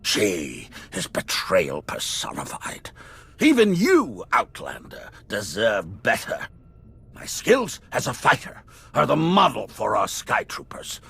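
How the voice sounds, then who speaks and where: Raspy, grandiose, vengeful game warrior. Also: male voice, fairly low pitch, wildly swooping pitch, takes it slowly, pushed and bright, heavily acted. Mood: fervent, bitter. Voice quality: husky, gravelly. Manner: grandiose, declamatory. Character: overwrought male warrior, proud, vengeful and unstable. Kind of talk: video game villain acting.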